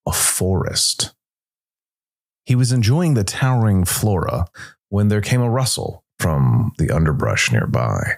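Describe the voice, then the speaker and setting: A man narrating a scripted fantasy tale dramatically as a storyteller. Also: low voice. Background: music.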